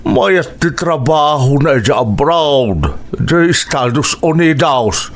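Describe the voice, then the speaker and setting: A chatty older husband , voiced by a man, speaks with an Ilocano accent. His voice is fairly high and swings widely in pitch. He talks like someone telling neighborhood news. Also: animated, takes it slowly. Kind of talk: radio drama acting.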